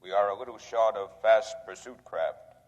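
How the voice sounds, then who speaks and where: A man playing an obedient military robot in a 1950s radio drama, deliberate, impassive and formal. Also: on the low side, dull audio, close to an everyday voice. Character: obedient military robot reporting flatly on war.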